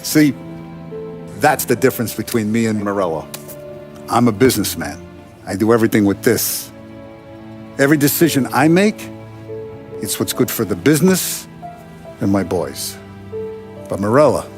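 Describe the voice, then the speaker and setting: An actor playing a paternal, authoritative Italian-American mafia boss in a 1930s crime game, speaking deliberately. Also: male voice, on the low side, animated, lightly acted. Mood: smug, measured. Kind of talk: cinematic video game acting. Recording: music behind the voice.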